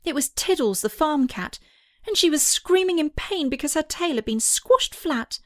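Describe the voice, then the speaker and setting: A woman's voice: sits high, expressive, normal speed, no echo, clean studio sound. A woman narrating a scripted children's story as an audiobook reader.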